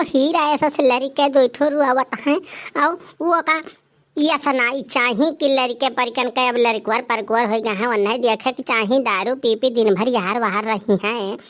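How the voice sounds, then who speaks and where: A woman's voice: on the high side, a bit bright. A woman voicing an indignant, scolding village mother in a cartoon comedy, speaking emphatically with an Awadhi accent. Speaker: voice actor of a comedy cartoon channel. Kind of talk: dialect cartoon comedy acting.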